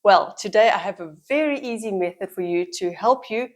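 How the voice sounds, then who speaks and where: A middle-aged woman explains something to the camera, her voice a little croaky from a head cold. She leans on key words and repeats them for emphasis, in a warm, steady teaching manner. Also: mid-range voice, fairly quick. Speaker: women's health educator.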